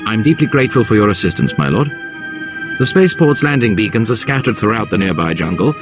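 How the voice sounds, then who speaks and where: A game's military commander, low in pitch, formal and clipped. He speaks with deference, and music plays clearly behind him. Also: big pitch swings, quick pace. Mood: businesslike. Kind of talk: video game cutscene dialogue.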